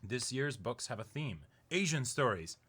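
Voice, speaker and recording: A man's voice: medium pitch, animated, normal speed, fairly bright tone, husky. A young man co-hosting, reading aloud casually and breaking into easygoing, chatty asides. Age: young adult. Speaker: co-host of an unboxing show. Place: small indoor room.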